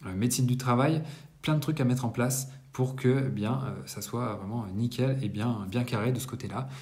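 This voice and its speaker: A man in his thirties giving a casual monthly business debrief to camera in a bright room, talking conversationally with frequent hesitations and self-corrections. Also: mid-range voice, moderate pace. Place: indoors, bright apartment room.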